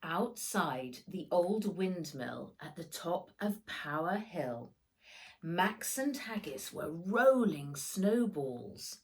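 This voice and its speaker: A woman narrates a children's storybook in a slightly low voice. She takes her time and varies her pitch widely, giving the action words dramatic emphasis. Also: very animated, slow pace. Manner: dramatic, with sound words acted out. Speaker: author reading own book.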